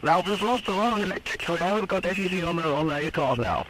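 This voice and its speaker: High-pitched male pilot on noisy radio. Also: lively intonation. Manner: halting, hesitant phrasing. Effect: aircraft radio transmission. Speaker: airline pilot. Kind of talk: air traffic radio call. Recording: noisy archival cockpit-to-tower radio.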